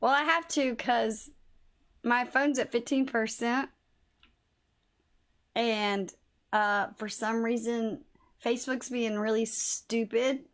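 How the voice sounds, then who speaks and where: A woman's voice: fairly high pitch, steady tone, slow pace, pauses often. A woman livestreaming a game, chatting casually with viewers and swearing a little; her voice is slightly worn, as if she is losing it. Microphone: phone.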